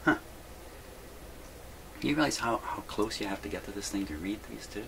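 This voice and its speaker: A man's voice: on the low side, animated, normal speed, creaky, fairly bright tone, slight background noise. A man casually narrating his hands-on repair work as a video host, rambling and thinking aloud to himself. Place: indoor home workshop.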